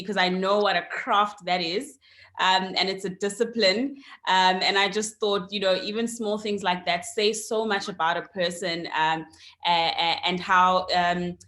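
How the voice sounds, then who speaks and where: A woman's voice: medium pitch, fairly bright tone. A woman, hosting an online interview and welcoming her guest, with frequent ums along the way. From South Africa. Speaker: host.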